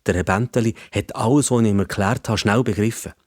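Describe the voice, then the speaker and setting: Schweizer Märlierzähler, trockener Klang. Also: male voice, fairly low pitch, fairly quick, no echo, studio quality. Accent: Swiss German. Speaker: storyteller. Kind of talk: children's storytelling in the first person.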